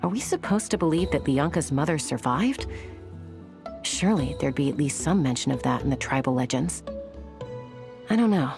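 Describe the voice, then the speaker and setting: A woman playing a serious, protective and skeptical young scout in a video game, with a measured, guarded manner. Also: deep voice, expressive, over music. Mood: wary, serious.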